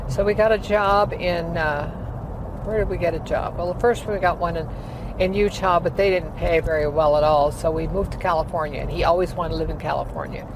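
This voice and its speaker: A woman's voice: on the low side, steady tone, brisk. A woman in her eighties, a former opera singer, recalling her career in a rambling, filler-laden way during a casual interview. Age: 80s. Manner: rambling storytelling with many fillers. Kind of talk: casual life-story interview. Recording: heavy traffic noise behind.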